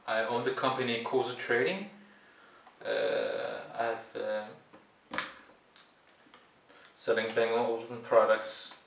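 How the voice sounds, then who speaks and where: Slow, gravelly Danish-accented man, distant mic. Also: mid-range voice, fairly even intonation. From Denmark, Copenhagen. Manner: halting, mumbled asides while working with hands. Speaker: repair tutorial presenter, parts seller. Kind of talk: casual hands-on tutorial commentary. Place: indoor workbench. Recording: distant roomy mic, loud clicking noise.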